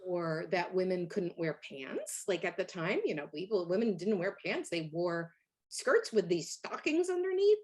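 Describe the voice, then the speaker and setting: A middle-aged woman from Hungary, a guest in an interview, telling her story in a lively way with fillers and laughs. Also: fairly low pitch, moderate pace. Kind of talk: conversational interview.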